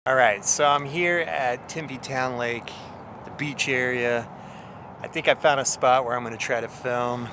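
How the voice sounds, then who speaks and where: A man with a mid-pitched voice records a casual vlog update outdoors. Traffic and street noise are clearly audible behind his off-the-cuff talk. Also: fairly even intonation, unhurried. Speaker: video creator in a filming challenge. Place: outdoors at night near a road.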